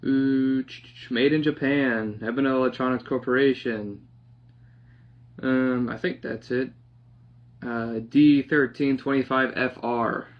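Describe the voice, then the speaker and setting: A young man casually narrating a hands-on project, half talking to himself in a halting mutter as he works in a living room. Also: medium pitch, fairly even intonation. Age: young adult. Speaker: DIY vlogger. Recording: dull lo-fi sound, clear background noise.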